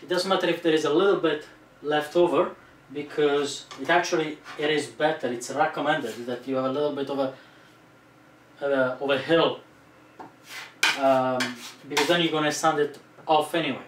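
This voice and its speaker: A man's voice: fairly high pitch, steady tone, moderate pace. A man in his thirties talks through a DIY build step by step, explaining plainly as he works. He is recorded indoors at home by a camera mic some distance away. The room is echoey and there is faint background noise. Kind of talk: DIY tutorial narration. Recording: roomy echo, voice off-mic.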